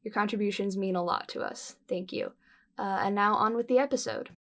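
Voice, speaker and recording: Woman announcer with mid-pitched voice. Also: a little fast, a bit bright. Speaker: podcast announcer thanking supporters. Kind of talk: reading acknowledgements.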